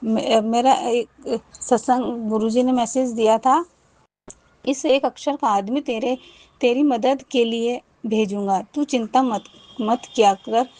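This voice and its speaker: A woman's voice: a little high, steady tone, slow pace, darkish tone, dry recording. A woman, telling a personal devotional testimony in a rambling way, with many restarts and repeated thanks.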